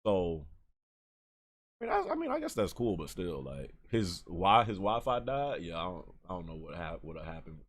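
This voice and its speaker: A man hosting a livestream and taking callers, talking in casual chat, animated and full of fillers and restarts. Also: sits low, moderate pace. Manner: animated, laughs often, many fillers and restarts.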